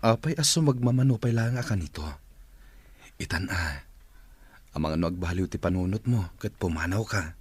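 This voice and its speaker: Earnest, smitten boss for radio drama: low, slightly husky, Ilocano accent, dry recording over music. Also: male voice, animated, normal speed, pauses often, dry recording. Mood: tender, pleading. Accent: Filipino, Ilocano. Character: smitten male office boss, earnest and insistent suitor.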